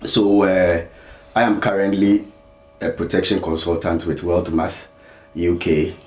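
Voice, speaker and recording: A man who is an insurance consultant guest on a talk show, speaking in a measured way with frequent fillers. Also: sits low, level tone, a little mellow, reverberant. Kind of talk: studio talk show interview.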